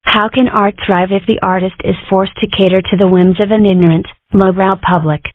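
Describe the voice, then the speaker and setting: A woman's voice: medium pitch, fairly even intonation, fairly quick, dry recording, close to an everyday voice. A mischievous, self-important young fox girl from a cartoon comic dub, acted by a woman. She speaks in theatrical declarations, full of herself. The recording is thin and narrow like a phone line, with no room sound. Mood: grandiose. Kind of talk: amateur comic dub character acting. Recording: muffled, tinny phone-like audio.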